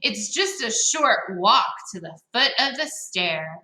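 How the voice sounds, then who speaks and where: A woman's voice: mid-range voice, very animated, slow, bright, forceful tone. A woman reading a children's story aloud in a dramatic, playful way, with chatty asides to her listeners. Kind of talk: expressive picture-book reading with chatty asides. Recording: roomy, echoing sound.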